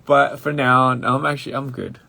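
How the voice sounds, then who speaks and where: A young male musician chatting casually on a phone livestream, halting and searching for words with frequent restarts. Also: medium pitch, level tone, creaky, pushed and bright, a little hiss or hum. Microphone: phone livestream mic.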